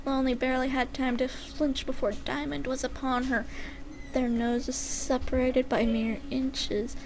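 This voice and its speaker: A woman reading a story aloud dramatically, in a deliberate and careful way. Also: high-pitched, level tone, rough recording. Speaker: fan fiction reader.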